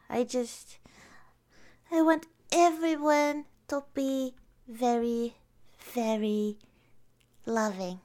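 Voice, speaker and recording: A woman from Norway with a Norwegian accent, whose first language is Norwegian, casually reading out viewer comments and laughing softly between them. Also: a little high. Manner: slow, giggly, soft-spoken. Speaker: vlogger.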